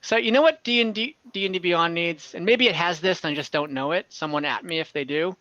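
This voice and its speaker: A woman narrating an online tabletop game as game master, informal and halting, often rephrasing as she goes. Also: lowish voice, fairly bright tone, no room sound, muffled recording. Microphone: online group call.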